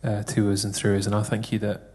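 This is a low-voiced man praying aloud in church. He keeps a flat, steady tone, earnest and repetitive, addressing God again and again. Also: fast talker, breathy edge. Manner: earnest, repetitive prayer phrasing. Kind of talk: spoken prayer.

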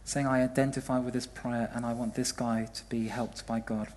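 A man's voice: mid-range voice, flat delivery, normal speed, darkish tone, a little hiss or hum. A man leading a church service, interviewing a member of the congregation in a jokey, upbeat way. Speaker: church leader hosting.

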